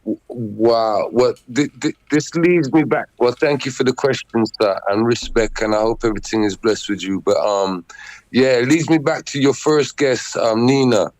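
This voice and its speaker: A man's voice: mid-range voice, normal speed, dry recording, rough recording. A man, a musician guest chatting loosely and repeating himself on a remote call. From Netherlands. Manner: laid-back, repetitive, shouts out greetings. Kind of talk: casual interview chat.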